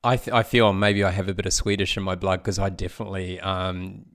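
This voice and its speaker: A man's voice: deep voice, little pitch movement, fairly quick, a bit bright. A man hosting a podcast interview, asking long reflective questions with many restarts. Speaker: podcast host. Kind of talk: conversational interview.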